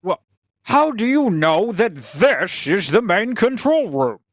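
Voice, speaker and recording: A woman's voice: medium pitch, very animated, slow, no echo, clean studio sound. A woman playing an anxious, hesitant young male scientist with orthodox beliefs in a radio sci-fi drama. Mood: incredulous. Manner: hesitant, incredulous, protesting. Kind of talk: cold-read old-time radio sci-fi drama.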